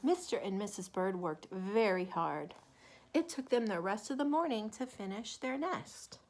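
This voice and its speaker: A woman reading a children's picture book aloud in an expressive, deliberate way, with asides to young listeners. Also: medium pitch, a little hiss or hum. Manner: animated, deliberate, asks the listener questions. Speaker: children's story reader.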